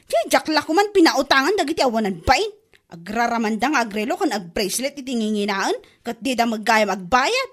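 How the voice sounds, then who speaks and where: An exasperated woman moneylender in a comedy radio drama. Her voice is high, and she nags and complains as she presses people to pay up. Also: very animated, a little fast. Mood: scolding, exasperated. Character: irritated, nagging woman lender collecting unpaid debts.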